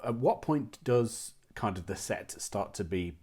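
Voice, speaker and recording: A man with a low, husky voice works as an interviewer. He speaks conversationally and builds long, curious questions out of several parts. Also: lively intonation, unhurried, a little mellow.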